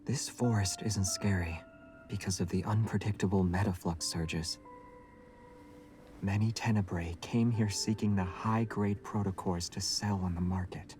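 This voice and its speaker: An actor voicing a mysterious, calm male loner in a game, measured, hushed and ominous. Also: low-pitched. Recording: game audio with loud music.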